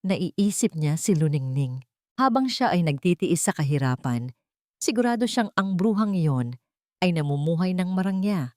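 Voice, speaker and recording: A woman narrator reading a scripted, sentimental story in a measured, dramatic storytelling manner. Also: lowish voice, a little mellow, dry recording, studio quality.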